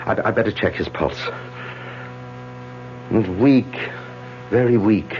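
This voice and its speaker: A man's voice: fairly low pitch, takes it slowly, a little hiss or hum. An actor playing Philip, a man who coaxes and then solemnly persuades, in 1940s radio-drama style. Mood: dismissive. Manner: urgent, coaxing, then solemn. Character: man named Philip, anxious and controlling. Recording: dull old radio broadcast with music.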